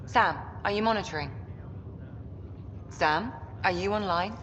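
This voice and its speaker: An actress playing a composed female doctor in a sci-fi game, measured, professional and reassuring. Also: mid-range voice, a bit bright, lightly acted. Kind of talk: sci-fi video game acting. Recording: muffled, reverberant, noisy ambience.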